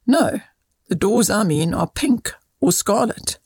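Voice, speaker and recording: A woman reading as a kindly older male cousin who tells children moral tales in an instructive, measured way. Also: medium pitch, animated, darkish tone, slightly rough, no echo, clean studio sound, exaggerated voice. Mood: patient, mysterious.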